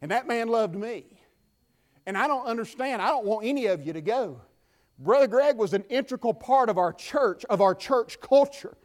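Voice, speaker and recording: A man with a Southern US accent preaching a sermon from the pulpit, building to fervent shouts and dropping to quiet talk. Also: very high voice, expressive, normal speed. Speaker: pastor. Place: church.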